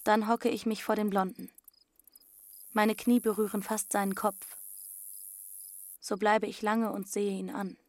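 A woman's voice: medium pitch, vocal fry, no room sound. A woman playing a dreamy, stubborn teenage runaway girl who tells her story intimately in short plain phrases in a radio drama. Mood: hushed, tender. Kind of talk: first-person radio drama narration with dialogue.

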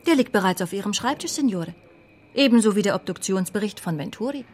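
An actress playing an efficient, wry police secretary in a naturalistic radio drama. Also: female voice, medium pitch, expressive, quick pace, a little mellow, close to an everyday voice.

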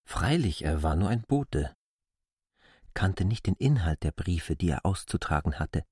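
An actor playing a formal, self-assured newcomer in a literary radio drama, with a husky, deliberate delivery. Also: male voice, deep voice, very animated, dark tone, dry recording, full character voice. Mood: formal, composed. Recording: dry studio with faint music.

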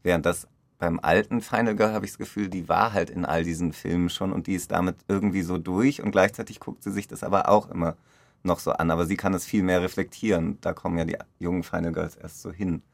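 A man's voice: low-pitched, fairly even intonation, fast, gravelly, no echo. A man talking casually and reflectively in a conversation about creative work. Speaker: discussion participant on an audio drama.

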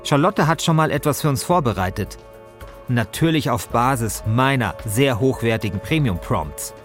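A man's voice: mid-range voice, expressive, normal speed. Male narrator-host, mid-range voice, wry conversational scripted delivery, light music bed. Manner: wry, ironic.

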